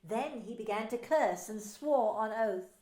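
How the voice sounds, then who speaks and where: A woman minister narrating scripture in an expressive, deliberate way. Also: a little high. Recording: echoey room.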